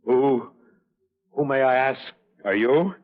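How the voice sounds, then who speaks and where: A man's voice: mid-range voice, takes it slowly, natural voice. An actor playing an unhinged middle-aged man acting out a guilt-crazed murderer, declaiming feverishly and repetitively in old radio thriller style. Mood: indignant. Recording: muffled old radio broadcast recording.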